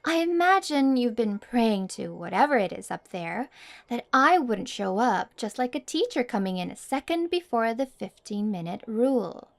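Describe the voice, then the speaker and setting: Woman acting a haughty, high-voiced popular girl. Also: very animated, normal speed, vocal fry. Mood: taunting, wistful.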